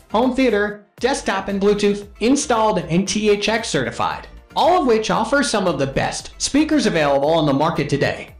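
A man's voice: high-pitched, reverberant. A man reading a scripted countdown-style product review in a formal, promotional manner. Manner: formal, promotional read-aloud delivery. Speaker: narrator of product review videos. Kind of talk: scripted top-ten countdown narration.

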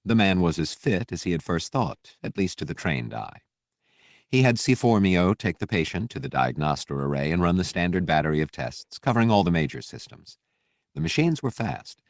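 A man reading a fiction audiobook as narrator, with measured, dramatic storytelling delivery. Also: low voice, big pitch swings, no echo, studio quality.

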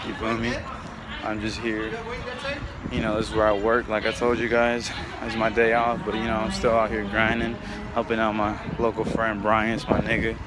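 A man's voice: on the low side, monotone, normal speed. A man in his twenties chatting casually to his viewers in slangy, repetitive motivational talk, recorded on a handheld phone outdoors. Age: 20s. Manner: informal, slangy, repetitive hustle pep talk. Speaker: vlogger. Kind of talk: casual motivational vlog chat. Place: outdoors at night in an open-air shopping mall. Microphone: handheld phone at arm's length. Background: wind and outdoor urban noise.

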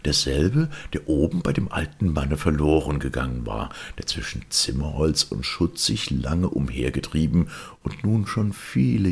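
Man, storyteller, extremely deep, husky and raspy, lively intonation, scripted fairy-tale reading. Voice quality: gravelly, husky. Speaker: audiobook narrator.

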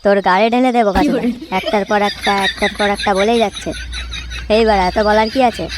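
A woman's voice: mid-range voice, fairly even intonation, fast talker, background noise, natural voice. A woman voicing a hot-tempered young male village drunkard in a comedy cartoon, cursing and blustering. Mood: irritated, angry.

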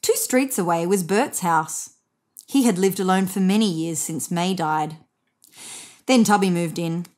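A woman's voice: lowish voice, unhurried, a little mellow. A woman in her thirties reading a children's story aloud expressively as a narrator. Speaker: storybook reader. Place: indoors on a bed.

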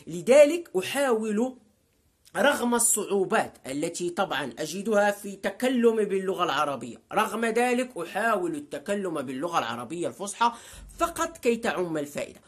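A man's voice: sits high, sing-song, fairly quick, slightly rough. A man, talking straight to a webcam in his living room, emphatic and repeating words for stress. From Morocco. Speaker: vlogger. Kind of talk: direct-to-camera vlog monologue. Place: living room with a sofa, indoors.

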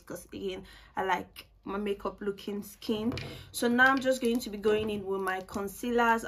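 Young woman beauty vlogger, chatty and self-mocking, medium pitch, home room, desk camera. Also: a bit bright. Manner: chatty, self-mocking, repeats words for emphasis. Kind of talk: casual makeup tutorial chat. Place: small home room, indoors.

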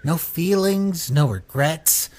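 An actor voicing a small, brooding talking flower in a comic dub, weary, somber and halting. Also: medium pitch, animated, darkish tone. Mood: confessing. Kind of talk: scripted comic dub acting.

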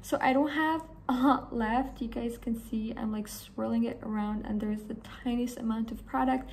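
A young woman with a hoarse voice, chatting enthusiastically to camera in a small room about her beauty products. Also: on the high side, fairly even intonation, normal speed, a little mellow, a little hiss or hum. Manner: chatty, enthusiastic, rambling with fillers. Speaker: beauty vlogger. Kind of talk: casual talk to camera.

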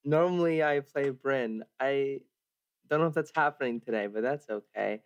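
A man's voice: mid-range voice, normal speed. A man bantering casually as a player on a tabletop game podcast, hesitant and joking, through a slightly dull microphone.

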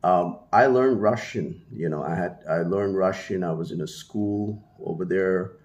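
A man's voice: sits low, a little slow, a little mellow, lo-fi sound. A man in his thirties from India, whose first language is Hindi, talking casually to camera in a small room, rambling with many fillers and restarts. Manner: gestures with one hand, holding a small mic to his mouth. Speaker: vlogger. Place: small room at home, indoors. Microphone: handheld mini mic held at the mouth.

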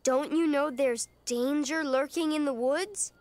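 A woman's voice: high-pitched, measured pace, a little mellow, no room sound. A woman playing a small, concerned cartoon character, speaking earnestly and carefully for children. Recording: music behind the voice.

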